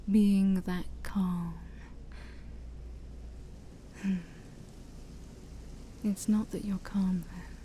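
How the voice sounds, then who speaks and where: A woman playing an ancient demon princess, haughty yet shy, in a soft-spoken ASMR roleplay that often drops to a whisper. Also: mid-range voice, a little hiss or hum. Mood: bemused, intrigued. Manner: formal, teasing, hesitant, sighing.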